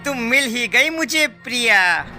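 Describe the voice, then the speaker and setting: A man playing the solemn, eerie spirit of an enchanted book in an animated horror story, drawing out the words dramatically. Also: extremely high pitch, unhurried, music in the background, heavily acted. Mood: eerie triumph.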